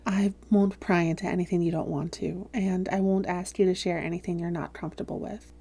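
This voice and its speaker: A woman playing a calm female vampire therapist in a roleplay audio, speaking softly, gently and in a measured, reassuring way. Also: lowish voice. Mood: gentle, professional. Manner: measured, gentle, reassuring, breathy hushed phrases. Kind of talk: soft-spoken roleplay audio, therapist addressing listener.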